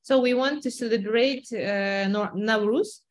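A young woman from Kazakhstan talks in English with a Kazakh accent. Her voice sits a little high, and she pauses often on "uh" while she searches for words. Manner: many "uh" fillers, searching for words. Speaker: interpreter and lawyer. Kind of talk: conversational storytelling in English.

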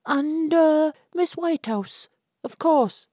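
A woman's voice: high voice, slow, warm tone, no echo, clean studio sound, voice pushed up. A woman voicing a devoted, earnest young Edwardian man in love, eager to please, in a story. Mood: courting. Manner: earnest, doting.

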